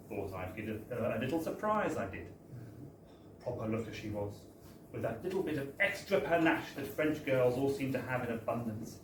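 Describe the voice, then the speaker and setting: A British man reading a wry first-person comic story aloud at a live event, in a chatty, theatrical storytelling manner. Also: mid-range voice. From Britain. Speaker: reader at a book launch. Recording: echoey live bar, distant mic, faint noise.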